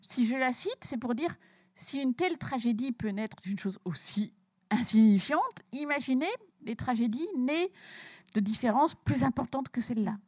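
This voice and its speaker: A woman's voice: on the high side, animated, moderate pace, no echo. A woman author from Belgium, talking emphatically about her book in an interview and repeating words as she starts her sentences.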